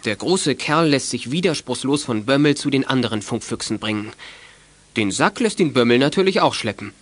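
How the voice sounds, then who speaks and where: Guy narrating a kids radio play from a script, mid-pitched voice. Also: animated, moderate pace, no echo. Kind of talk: scripted audio drama narration.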